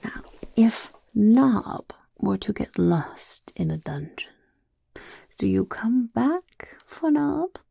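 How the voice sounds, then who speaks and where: A woman voicing a scheming little goblin overlord who interviews recruits in a soft-spoken ASMR roleplay, whispering smugly. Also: a little high, very animated, takes it slowly, dry recording, voice pushed up, exaggerated voice. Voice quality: gravelly, breathy whisper. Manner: whispery, smug, mischievous questioning. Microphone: close ASMR mic.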